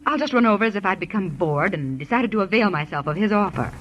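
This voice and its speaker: A woman's voice: medium pitch, lively intonation, fast talker, close to an everyday voice. A woman acting a curious, determined married heroine in a vintage radio drama, with an eager, emphatic delivery. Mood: defiant, breezy. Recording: muffled, noisy old radio broadcast.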